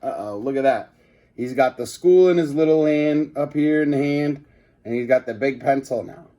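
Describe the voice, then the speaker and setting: A man's voice: mid-range voice, normal speed, dark tone. A man reading a children's book aloud like a teacher, with casual asides to his listeners.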